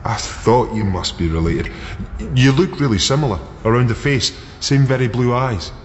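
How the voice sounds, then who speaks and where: An actor playing a bitter, chummy yet unsettling Scottish ex-soldier in a naturalistic radio drama. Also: male voice, mid-range voice, normal speed, close to an everyday voice. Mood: chummy, probing. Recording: dull sound, long reverb, noisy background.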